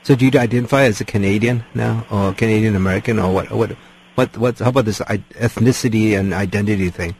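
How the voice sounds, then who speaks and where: A man's voice: fairly low pitch, fast talker, a little mellow, husky, poor audio. A man interviewing a guest on the radio, asking hesitant questions full of fillers.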